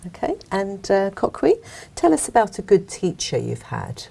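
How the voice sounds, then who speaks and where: A woman's voice: medium pitch, huge pitch swings, moderate pace, vocal fry, dark tone, husky. A woman examiner politely asking candidates questions in a recorded speaking exam. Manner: polite, encouraging prompts. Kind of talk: exam interview.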